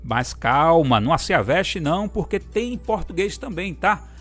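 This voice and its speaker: A man's voice: fairly high pitch, big pitch swings, moderate pace, fairly bright tone, husky, soft background music. A man reading an upbeat, chatty scripted promo for the program. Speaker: program announcer.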